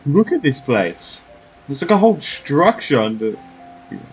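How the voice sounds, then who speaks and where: A man's voice: medium pitch, sing-song, measured pace, poor audio. A man giving casual commentary as he plays a video game. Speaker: gamer. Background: game music.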